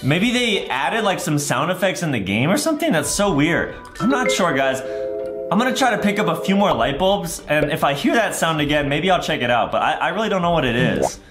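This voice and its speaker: A young man with a high, animated voice hosts a gaming video for kids. He is excitable and theatrical, often shouting. He is recorded in an echoey room over music. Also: a little fast. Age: 20s. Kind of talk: exaggerated kids' gaming commentary. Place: small room at home, indoors. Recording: roomy echo with loud background music.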